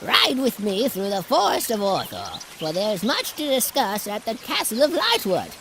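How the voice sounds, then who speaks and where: A man's voice: sits high, wildly swooping pitch, normal speed, background noise, voice pushed up, exaggerated voice. A man playing a jolly, courtly little gnome from a fantasy storybook.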